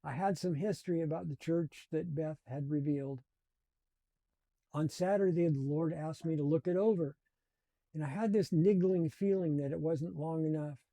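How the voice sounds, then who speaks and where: Earnest older man, dark dry voice. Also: a little high, normal speed, creaky, no echo. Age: 70s. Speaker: channel host. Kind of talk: personal storytelling.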